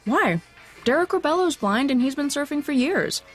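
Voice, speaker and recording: A woman acting a headstrong, restless blind high school girl in an audio drama, talking casually and naturally. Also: very animated, normal speed, faint music behind. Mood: determined. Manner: casual, conversational.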